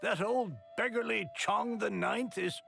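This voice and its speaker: A man's voice: high-pitched, a little slow, vocal fry, sharp tone, soft background music, heavily acted. An actor playing a flustered, indignant male writer in an anime game, exasperated and stammering.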